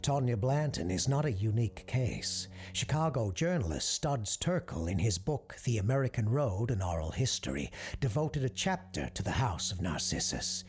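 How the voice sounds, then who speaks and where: A man reading scripted, eerie documentary-style narration in a measured, deliberate way with dramatic pauses. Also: lowish voice, sing-song. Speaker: host and narrator.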